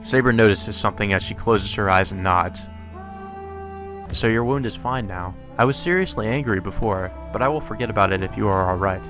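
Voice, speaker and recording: A man's voice: fairly low pitch, fairly quick, over music. A man doing a let's play, reading a visual novel aloud and breaking off into casual, sweary, incredulous commentary. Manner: irreverent, sweary, reacting incredulously to the script.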